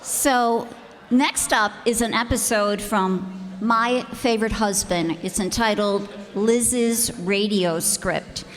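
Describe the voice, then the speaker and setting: A woman's voice: mid-range voice, fairly even intonation, takes it slowly. A woman speaking informally as host, introducing a show. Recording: echoey hall.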